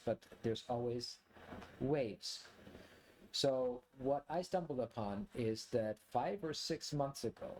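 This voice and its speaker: A man from Germany, a native German speaker, giving a casual, reflective monologue to viewers in a rambling, halting way as he thinks aloud. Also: medium pitch, a little mellow, a little hiss or hum, low-quality recording. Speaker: video creator, commentator.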